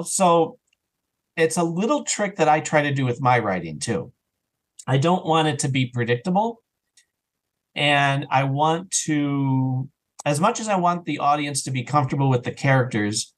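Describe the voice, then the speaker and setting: A man talking as a podcast guest in a casual, enthusiastic and animated way, slipping into imagined remarks as an audio drama creator. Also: medium pitch. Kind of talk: casual enthusiastic interview chat.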